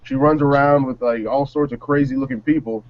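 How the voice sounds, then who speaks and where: A man's voice: medium pitch, fairly even intonation, a little fast. A man from New Jersey giving casual, wry, blunt opinions as a panelist. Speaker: talk show panelist. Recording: dull lo-fi sound.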